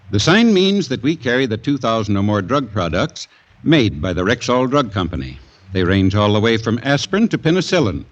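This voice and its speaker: A man playing an earnest, trustworthy neighborhood pharmacist as sponsor spokesman in a 1940s radio commercial, on a lo-fi recording. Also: lowish voice.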